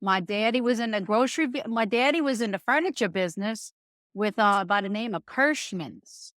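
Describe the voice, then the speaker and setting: A woman's voice: mid-range voice, lively intonation, moderate pace, fairly bright tone, dry recording, studio quality. A middle-aged woman from New Orleans with a local accent, telling stories in a folksy, chatty way as a podcast guest. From New Orleans, Louisiana. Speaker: guest, restaurant owner. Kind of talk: casual interview chat.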